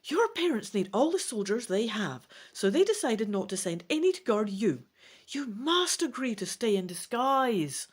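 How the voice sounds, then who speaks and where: A woman's voice: on the high side, big pitch swings, normal speed, breathy edge, voice pushed up. A woman voicing a stern, teasing two-hundred-year-old female elf tutor in a dramatic reading of a fantasy gamebook. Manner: whispering sharply at times, admonishing.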